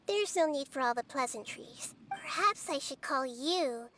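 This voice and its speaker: Very high-pitched, wise child goddess. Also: female voice, lively intonation, pushed and bright, slight background noise, full character voice. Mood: calm, probing. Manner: measured, articulate, formal. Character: small gentle wise child-like archon goddess, calmly perceptive. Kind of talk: scripted video game voice acting.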